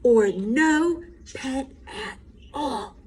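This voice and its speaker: A fussy storybook mother frog, played by a woman, high and firm. She calls out and scolds with exaggerated, lively swings in pitch. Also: extremely slow, slight background noise, exaggerated voice. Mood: scolding.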